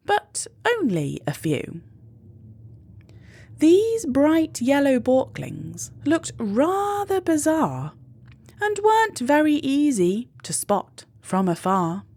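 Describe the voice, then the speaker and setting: A woman reads a rhyming children's tale aloud at a very unhurried pace. Her pitch swings widely as she tells it with measured drama, and the recording is close and dry. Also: darkish tone, no echo. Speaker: children's storybook reader. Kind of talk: expressive read-aloud of a rhyming children's story.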